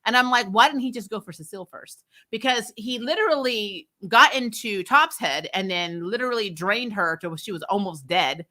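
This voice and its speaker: Bright-voiced woman giving opinionated recaps. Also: medium pitch, normal speed, few pauses. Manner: animated, sarcastic mock-quoting, mild swearing. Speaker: host of a TV review channel. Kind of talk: casual, opinionated episode recap.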